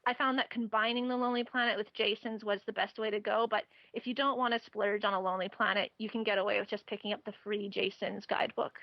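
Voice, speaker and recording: A woman's voice: mid-range voice, steady tone, fast, hardly any pauses, no echo, muffled recording. A woman guest on a travel interview, chatting casually and laughing often as she gives practical advice.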